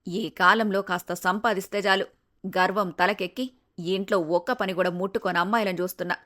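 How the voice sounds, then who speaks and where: A woman voicing a fond, scheming older mother in an animated moral story. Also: medium pitch, fairly quick, lightly acted. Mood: fond, musing.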